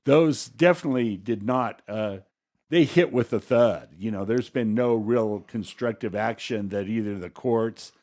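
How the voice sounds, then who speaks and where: A man co-hosting a podcast, giving conversational political commentary in a halting way, often pausing to rephrase. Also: fairly low pitch, darkish tone. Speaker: podcast co-host.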